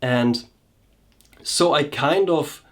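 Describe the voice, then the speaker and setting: A man's voice: a little high, extremely slow. A man talking through his personal experience in a reflective vlog, hesitant and often restarting his sentences. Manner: hesitant, searching for words, frequent restarts. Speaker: vlogger and coach.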